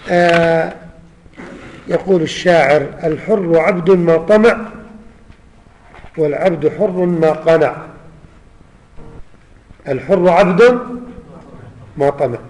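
Male scholar, high voice, lesson delivery, recites and repeats verse, long hall reverb. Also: a little mellow. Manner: recites verses then explains them, repeats lines. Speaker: Islamic scholar teaching. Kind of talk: religious lesson explaining poetry.

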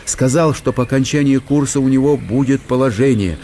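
A man reading literary narration for a radio play in an expressive, theatrical storytelling manner. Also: medium pitch, brisk, slight background noise. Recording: studio radio play with faint music.

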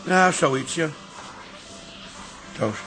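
A man's voice: fairly high pitch, animated, drawn-out words, sharp tone, faint music behind, heavily acted. An actor playing a stubborn, cheeky older father in a Dutch radio comedy, exclamatory and emphatic. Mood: proudly smitten. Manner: exclamatory, defensive, comically emphatic. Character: stubborn, cheeky old father, newly in love.

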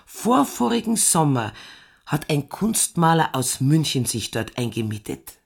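An actress playing a stately, hospitable farm landlady in a radio drama, husky-voiced, explaining things courteously and matter-of-factly. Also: sits low, sing-song, measured pace, heavily acted.

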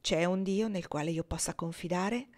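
A woman's voice: medium pitch, steady tone, normal speed, pitched above the performer's own voice, lightly acted. A woman voicing a desperate young husband who pleads and turns bitter, in a read-aloud story.

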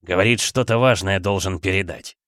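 A man's voice: sits low, fairly quick, a bit bright, dry recording. Guy voicing a loyal fantasy sergeant reporting to his lord, deferential soldier talk, very dry recording.

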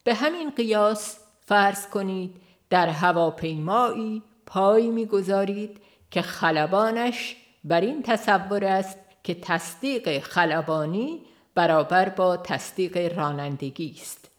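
A woman reads a religious book as scripted audiobook narration, in a measured, formal, literary manner. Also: mid-range voice. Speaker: audiobook reader.

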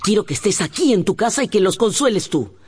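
An actress playing a worried, reproachful mother in a radio drama, emotional and husky. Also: medium pitch, lively intonation, quick pace, a little mellow. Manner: emotional, scolding and lamenting.

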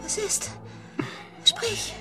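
An actress in a radio play playing a modest, anxious young bride with an earnest, tearful manner. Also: female voice, extremely slow, over loud music. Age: young adult.

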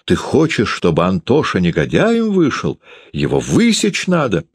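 A man's voice: extremely high pitch, big pitch swings, drawn-out words, no room sound, studio quality, voice pushed up, full character voice. A man voicing a passionate, hysterical mother in a storybook, scolding and shrieking in exclamations. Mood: insistent scolding. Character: passionate, shrill, hysterical mother, fierce and sentimental.

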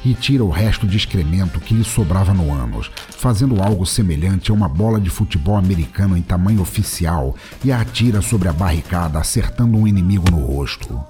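A man with a Brazilian accent narrates a comic action story from a script. His voice is low, and music plays clearly behind him. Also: animated, a little fast, few pauses, dark tone.

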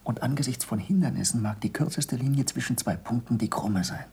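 An actor playing an earnest, intense younger former pupil of a scientist in a 1960s German radio play, with formal, urgent stage diction. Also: male voice, medium pitch, brisk, creaky, a little mellow, husky. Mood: excited, insistent. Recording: slightly noisy old radio recording.

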